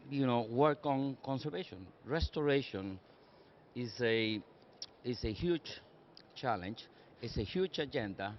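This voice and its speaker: A man's voice: medium pitch, dull audio, slight background noise. Earnest older Costa Rican man at a conference. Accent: Costa Rican Spanish. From Costa Rica. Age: 60s. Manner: earnest, measured, builds points in lists. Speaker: conference speaker. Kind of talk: conference panel remarks. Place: conference session room.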